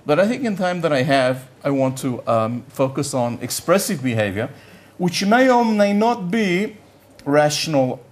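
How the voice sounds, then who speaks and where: A man's voice: fairly high pitch, big pitch swings, measured pace, few pauses. An older man, a professor whose first language is Yiddish, giving a conference lecture in a conversational, blunt way, often stopping to search for words and repeating himself.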